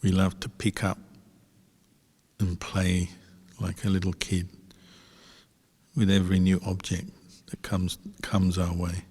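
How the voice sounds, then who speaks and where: A man, a Buddhist monk, guiding a meditation calmly and without hurry, with a husky voice and long pauses between short phrases. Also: deep voice. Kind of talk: guided meditation instruction. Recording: reverberant hall, long echo.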